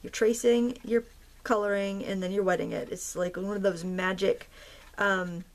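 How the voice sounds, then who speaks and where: Lady crafter chatting while she paints, bit of vocal fry, easygoing. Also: mid-range voice, normal speed. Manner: relaxed, rambling, with fillers and soft laughs. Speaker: craft tutorial host. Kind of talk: casual chatty tutorial narration.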